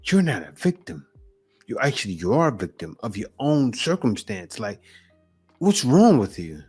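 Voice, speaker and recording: Blunt male reaction host with animated pitch. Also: moderate pace, breathy edge, faint music behind. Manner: blunt, mocking, teasing. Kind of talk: casual commentary reacting to clips.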